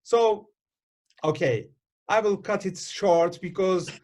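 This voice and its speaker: A middle-aged man with a Turkish accent hosting a video call interview, halting with many fillers and restarts. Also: high voice, darkish tone. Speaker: host and consultant.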